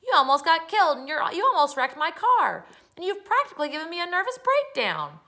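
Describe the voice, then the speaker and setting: A woman's voice: extremely high pitch, wildly swooping pitch, a little fast, pushed and bright, pitched above the performer's own voice, heavily acted. A woman voicing a furious, flustered neighbour woman who scolds in a children's story.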